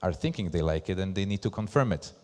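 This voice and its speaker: Male presenter, Slovak accent, lower register, deliberate emphatic phrasing, live stage talk with long hall reverb. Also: lively intonation, a little mellow. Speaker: presenter, brand ambassador. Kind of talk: conference presentation. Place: large hall at a live event.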